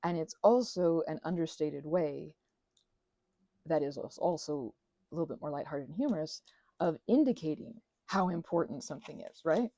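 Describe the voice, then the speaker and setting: A woman's voice: deep voice, normal speed, mellow, dry recording. A woman in her thirties rambling casually and digressively, with a sarcastic, self-deprecating streak. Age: 30s. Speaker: crafting vlogger and ASMR creator.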